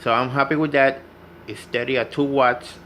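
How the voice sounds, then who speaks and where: A man's voice: medium pitch, steady tone, normal speed, bright, forceful tone. Man giving hands-on tutorial over background noise. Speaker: radio repair hobbyist demonstrating. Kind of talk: informal hands-on tutorial narration.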